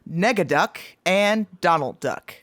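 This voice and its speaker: Lively lower-pitched woman, animated intonation. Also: takes it slowly, dry recording, clean studio sound. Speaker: voice cast member. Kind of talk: casual banter.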